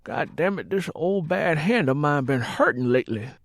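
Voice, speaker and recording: Boisterous husband, Southern accent, higher-pitched, hard-drinking, mocking, folksy storybook character. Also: male voice, animated, moderate pace, no room sound, studio quality. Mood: resigned, grumbling. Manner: irreverent, folksy, drawling banter. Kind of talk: audiobook character acting.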